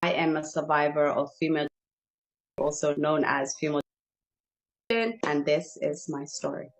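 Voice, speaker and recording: A woman from Somalia telling her own story in a brisk, earnest way. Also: low voice, rough recording. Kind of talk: personal testimony.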